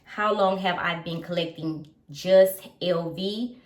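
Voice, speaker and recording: A woman's voice: fairly low pitch, fairly even intonation, takes it slowly, distant mic, a little hiss or hum. Chatty woman in her thirties, echoey room. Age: 30s. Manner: chatty, repeats points, adds "okay?" and "um". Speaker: vlogger. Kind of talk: casual chat to camera.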